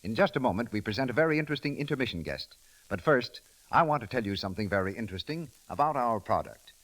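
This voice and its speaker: A crackly old radio broadcast with a male announcer. He delivers scripted 1930s advertising copy with lively, swinging intonation. His voice is mid-pitched. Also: fast.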